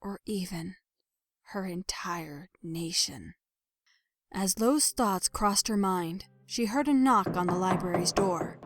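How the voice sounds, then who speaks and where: A woman reading a scripted dramatic story as narrator, measured and expressive in her delivery. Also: on the low side.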